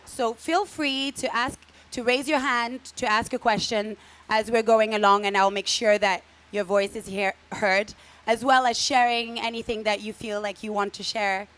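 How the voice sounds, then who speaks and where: A woman's voice: on the high side, fairly even intonation, moderate pace, fairly bright tone. A woman hosting a live interview event, welcoming the audience and stumbling over and repeating words as she goes. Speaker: host.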